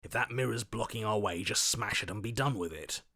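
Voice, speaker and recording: A man playing a stubborn, combative inner voice in a video game, forceful, scornful and commanding. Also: on the low side, a little fast, vocal fry, no room sound, clean studio sound, exaggerated voice. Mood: grim determination. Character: stubborn, combative male inner voice itching for a fight. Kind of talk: dramatic video game voice acting.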